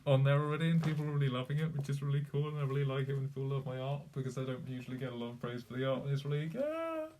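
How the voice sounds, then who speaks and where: A man's voice: mid-range voice, racing, few pauses, a little mellow. A young man chatting casually on a live stream, rambling, swearing freely and laughing often. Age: young adult.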